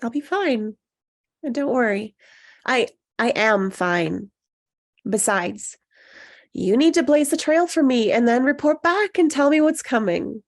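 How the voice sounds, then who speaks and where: High-pitched female actor as a loyal best friend, soothing and encouraging, in a screenplay read. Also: expressive, a little slow. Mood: reassuring. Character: Annie, loyal reassuring best friend of a nervous bride.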